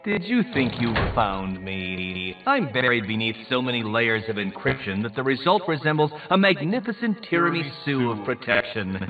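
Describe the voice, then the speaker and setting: An actor playing a smug, boastful mastermind villain in a video game, with pompous, theatrical, mocking taunts. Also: male voice, mid-range voice, close to an everyday voice. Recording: tinny narrow-band audio, distant, music behind. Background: music.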